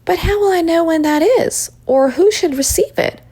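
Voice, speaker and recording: A woman's voice: moderate pace, mellow. Woman acting eager, breathless young girl. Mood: excited, wondering. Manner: breathless, high, earnest.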